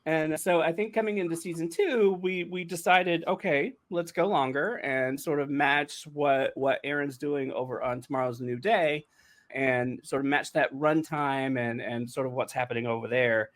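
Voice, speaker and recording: A man with a somewhat high voice hosts a relaxed podcast about making a show. He is talkative and jokey, speaking in a loose, conversational way. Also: normal speed. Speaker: podcast host and showrunner. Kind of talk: casual behind-the-scenes podcast chat.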